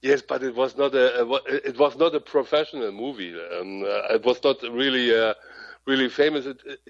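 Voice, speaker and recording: A man's voice: mid-range voice, normal speed, no room sound. A man with a German accent, a guest composer speaking English as a second language, hesitant and searching for words. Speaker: guest, music producer and composer. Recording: dry, dull lo-fi sound.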